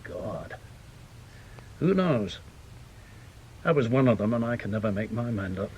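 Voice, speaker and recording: A man's voice: on the low side, animated, unhurried, a little mellow, dull audio, a little hiss or hum. An actor playing a bitter, blunt veteran British intelligence man in a radio drama, with an emphatic, scornful manner. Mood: grave, emphatic.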